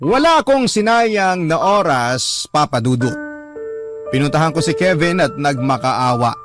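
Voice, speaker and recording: A man narrating a listener's letter on a radio drama show in an earnest, steady storytelling manner. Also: fairly high pitch, measured pace, over music.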